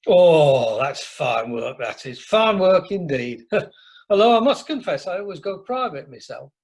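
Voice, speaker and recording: An actor playing a boastful, jovial older husband with a Yorkshire accent, hearty and repetitive, in an amateur radio comedy. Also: male voice, fairly high pitch, sing-song, measured pace, husky, heavily acted. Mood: smug. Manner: hearty, repeats himself.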